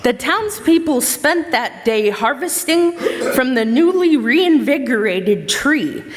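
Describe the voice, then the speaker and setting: A woman's voice: high-pitched, lively intonation, slow. A woman narrating a scripted story for a readers theater stage play. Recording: reverberant hall.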